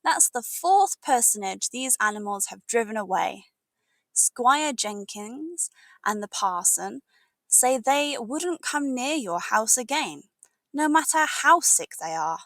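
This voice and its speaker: Woman voicing a scolding British sister, animated and high. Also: no echo, exaggerated voice. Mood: indignant. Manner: indignant, emphatic nagging. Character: scolding, exasperated sister of a country doctor.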